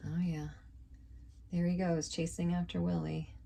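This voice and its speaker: A woman reading a picture book aloud to young children in an expressive, informal way, with casual asides. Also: lowish voice, measured pace, gravelly, slight background noise. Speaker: teacher reading aloud to kindergarteners.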